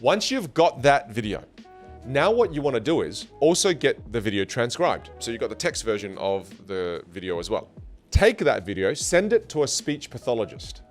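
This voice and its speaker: A man whose first language is Teochew, teaching communication skills in an online English lesson with an animated, jokey delivery. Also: medium pitch, normal speed, soft background music.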